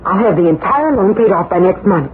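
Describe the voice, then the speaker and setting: A woman's voice: medium pitch, animated, fairly quick, lightly acted. An actress playing an earnest, anxious young lady borrower in a 1940s radio drama, polite and a little hesitant. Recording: noisy, tinny 1940s radio recording.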